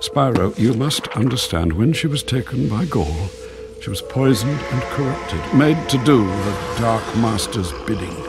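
Slow, theatrical ancient dragon sage. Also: male voice, medium pitch, expressive. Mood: grave warning. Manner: measured, theatrical, grave, with drawn-out pauses. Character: ancient, wise, mysterious dragon keeper of prophetic books. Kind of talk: video game cutscene acting, solemn storytelling. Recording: loud music behind the voice. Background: loud cinematic game music.

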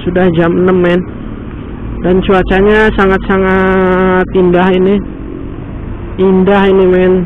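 A man's voice: high voice, monotone. A man with a Sundanese-flavoured Indonesian accent giving slangy, casual running commentary while riding a motorcycle, recorded on a helmet action camera. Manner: chatty, slangy, quick exclamations. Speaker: motovlogger. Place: outdoors on a moving motorcycle in traffic. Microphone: helmet-mounted action camera. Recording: tinny lo-fi with loud road and wind noise. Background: traffic and engine noise.

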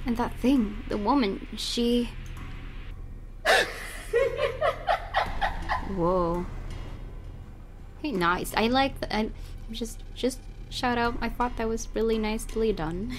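A woman's voice: fairly high pitch, takes it slowly, pauses often, over music. A young woman playing a game, reading its text aloud and reacting casually with giggles and exclamations. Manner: giggly, exclamatory, self-correcting. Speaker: let's player.

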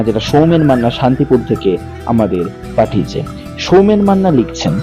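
A man's voice: a little high, warm tone. A man narrating a horror story as a channel host. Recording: muffled lo-fi audio with music behind. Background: music bed.

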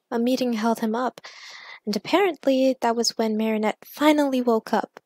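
Woman narrating fan fiction, high voice, takes it slow, really dry sound. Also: a little mellow, no echo. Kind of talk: scripted story narration.

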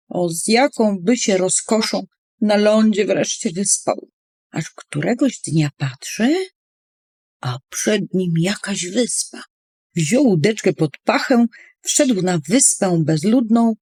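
A woman's voice: medium pitch, lively intonation, slow, a little mellow, slightly rough, no room sound, clean studio sound. A woman storyteller reading a children's poem expressively, with playful, dramatic emphasis on words.

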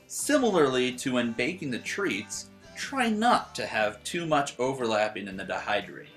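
A man narrating a scripted how-to voice-over in an animated, instructional way. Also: medium pitch, moderate pace. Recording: voice-over with faint background guitar.

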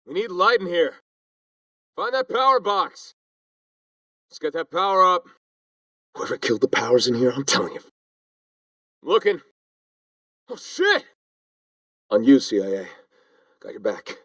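Casting: American special-ops soldier in a shooter, high voice swinging widely, clipped and intense. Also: male voice, a bit bright, no room sound, exaggerated voice. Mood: urgent shouting. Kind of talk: video game battle chatter.

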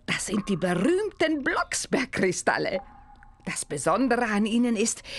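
A stately, mysterious grown witch from a cartoon game. She speaks slowly and theatrically, with hugely swooping intonation. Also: medium pitch, slight background noise. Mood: grand. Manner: theatrical, deliberate, instructing. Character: stately, mysterious adult witch giving a task.

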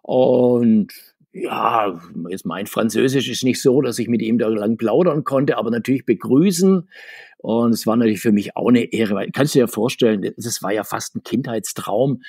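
Man, 60s, husky voice, rambling chatty guest, video call. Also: mid-range voice, normal speed, a little mellow, no room sound, studio quality. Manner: rambling, chatty, laughs between points. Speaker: interview guest, comics marketer. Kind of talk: casual interview talk. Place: home room full of figures.

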